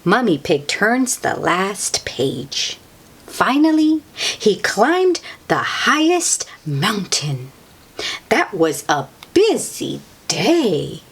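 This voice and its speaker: A woman's voice: medium pitch, very animated, drawn-out words, husky. A woman reading a picture book aloud to young children, exclaiming and adding encouraging asides addressed straight to them.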